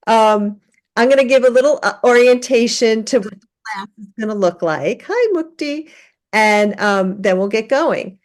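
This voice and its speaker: A woman teaching an online class, giving a relaxed orientation with many fillers and restarts. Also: mid-range voice. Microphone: video call.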